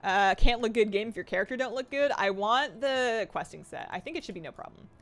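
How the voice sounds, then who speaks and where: A young woman streaming a game live, chatting excitedly and reading her chat aloud. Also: fairly high pitch, fairly quick.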